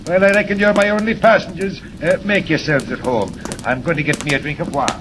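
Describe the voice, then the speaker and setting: A man's voice: a little high, fairly quick, natural voice. An actor playing a genial, folksy small-town trolley motorman, easygoing, in 1940s radio serial style. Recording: noisy vintage 1940s radio recording.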